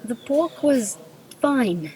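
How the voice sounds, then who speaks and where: A woman's voice: high-pitched, lively intonation, extremely slow, mellow, exaggerated voice. A woman acting in a radio play as an awkward, earnest female Grim Reaper who speaks in stiff, formal, literal phrases. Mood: earnest, unsure.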